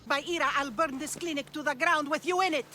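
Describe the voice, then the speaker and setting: A woman's voice: high voice, quick pace, pushed and bright, a little hiss or hum. A woman playing a haughty, commanding and threatening ancient Greek priestess in a video game. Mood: furious, threatening. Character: imperious, threatening female priestess of ancient Greece. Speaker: game character. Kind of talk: video game cutscene acting.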